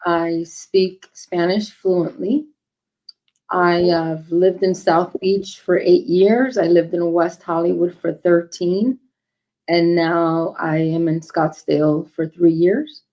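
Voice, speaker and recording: Low-voiced woman in her forties, measured. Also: fairly even intonation, mellow, rough recording. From New York City, raised in northern New Jersey. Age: 40s. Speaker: guest. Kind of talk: casual podcast chat.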